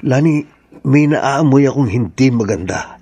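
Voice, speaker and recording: A man playing a suspicious, watchful village husband in a radio drama, speaking in a deliberate, brooding way. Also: medium pitch, animated, warm tone, muffled recording. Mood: grave, suspicious.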